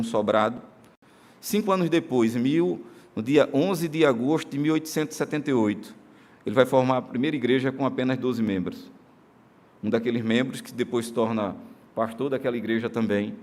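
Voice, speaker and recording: A male pastor with a Brazilian accent preaching a sermon in a church, earnest, moving between emphatic declarations and soft, slow solemn passages. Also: mid-range voice. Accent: Brazilian Portuguese. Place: church sanctuary during a live-streamed service.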